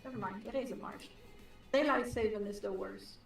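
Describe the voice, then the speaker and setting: A woman artist whose first language is not English, chatting and teasing in a relaxed way on a stream. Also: medium pitch, moderate pace, creaky, echoey room, distant mic, faint music behind. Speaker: artist, co-host. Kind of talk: casual chat on a livestream.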